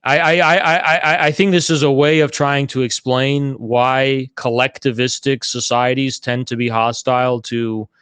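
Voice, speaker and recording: A man's voice: medium pitch, steady tone, unhurried, few pauses, fairly bright tone, clean tone, no echo. A man speaking in a live online political debate, arguing emphatically and repeating himself, with some swearing. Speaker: debater leading a political group. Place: live online audio chat room.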